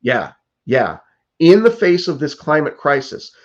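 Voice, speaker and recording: A man's voice: on the high side, big pitch swings, unhurried, dull audio. A man in his fifties from the United States, a political candidate answering interview questions in an earnest, urgent way, with frequent restarts and fillers. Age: 50s. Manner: earnest and urgent, many restarts and "like", "you know".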